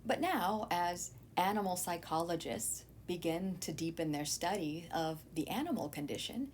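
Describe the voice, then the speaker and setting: Animated woman narrating a lesson. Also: fairly low pitch, moderate pace, a little hiss or hum. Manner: explanatory, addresses students directly. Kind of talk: scripted educational narration.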